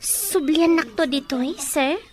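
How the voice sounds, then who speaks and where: Woman acting as high-pitched, hesitant young Filipina. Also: a little slow. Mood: hesitant, uncertain. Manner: hesitant, questioning. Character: startled, hesitant young single woman of modest means. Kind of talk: Ilocano audio drama acting.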